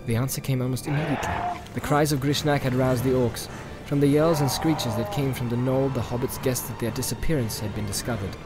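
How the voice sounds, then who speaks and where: A man's voice: medium pitch, fairly even intonation, fairly quick, few pauses. A man reading a fantasy audiobook as narrator, in a dramatic storytelling style. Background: music and sound effects.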